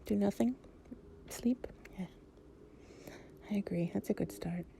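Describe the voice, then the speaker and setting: Female, very mellow and unhurried with a gravelly creak, whispering tenderly for relaxing girlfriend-style ASMR audio. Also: mid-range voice, slight background noise. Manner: soft, breathy, often whispering; affectionate, soothing, unhurried. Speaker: audio roleplay performer playing an affectionate girlfriend. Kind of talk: intimate improvised ASMR roleplay.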